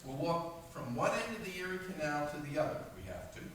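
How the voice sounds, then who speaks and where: An actor playing a decisive, friendly leader of farm animals in a stage radio play. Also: male voice, on the high side, very animated, quick pace, a bit bright. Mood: purposeful. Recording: echoey hall from far off, faint noise.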